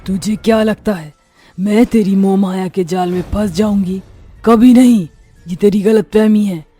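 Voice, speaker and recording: A woman playing a boastful, menacing man-eating witch in a horror story, taunting theatrically with drawn-out threats. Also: mid-range voice, warm tone, breathy edge, faint music behind. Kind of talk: dramatized Hindi horror story acting.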